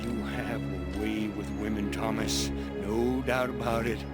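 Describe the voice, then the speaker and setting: An actor playing a hot-tempered, possessive gunslinger in a video game, blunt and commanding. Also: male voice, on the low side, steady tone, a little slow, lightly acted. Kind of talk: dramatic video game acting. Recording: loud music over the voice. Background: loud music.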